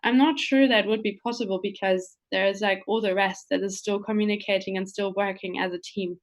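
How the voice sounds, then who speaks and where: A woman's voice: mid-range voice, steady tone, a little fast. A woman, a guest speaker, answering earnestly on a video call.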